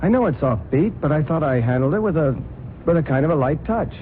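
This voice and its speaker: Male actor as an eager reporter, animated pitch, tinny old-radio sound. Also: a little fast, a little hiss or hum, lightly acted. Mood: earnest. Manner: earnest, pleading, lively. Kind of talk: 1970s radio drama acting.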